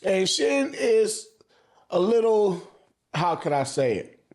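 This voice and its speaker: A man's voice: high voice, big pitch swings, slow, a little mellow. A man giving casual, slangy video commentary as an anime reviewer, swearing freely and repeating phrases for emphasis.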